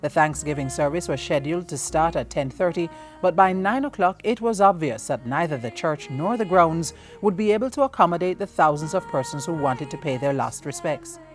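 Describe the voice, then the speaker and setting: A woman reading scripted news narration in a formal, solemn, measured manner. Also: low-pitched, expressive. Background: music under voice-over.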